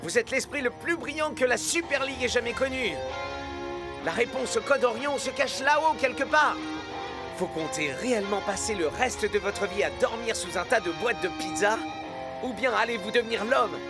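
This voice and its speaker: A young cartoon sports hero with a high, youthful pitch. He is earnest and determined, leaning dramatically on his words, with clear music behind him. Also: lively intonation, moderate pace. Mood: urging. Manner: dramatic, emphatic. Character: earnest, determined young male soccer star. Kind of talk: French-dubbed cartoon acting.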